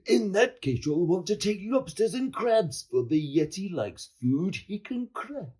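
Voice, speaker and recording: An indignant lady monster from a children's storybook as performed by a man, shrill and pitched high. The delivery swoops around with outraged exclamations and a slightly rough edge. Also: big pitch swings, measured pace, mellow, exaggerated voice. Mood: helpful, advising. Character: furious, indignant female mountain monsters, grudgingly helpful.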